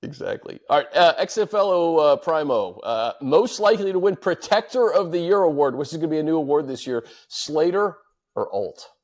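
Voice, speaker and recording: A man's voice: on the high side, lively intonation, brisk, darkish tone. An American man hosting a casual sports chat, fielding fan questions and adding his own take. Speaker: sports show host reading fan questions.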